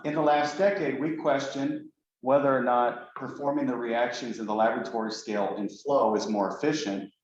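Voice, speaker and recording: Low-voiced man lecturing in echoey room. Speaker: guest speaker, professor. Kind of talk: academic seminar talk. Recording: echoey room, dull lo-fi sound, faint noise.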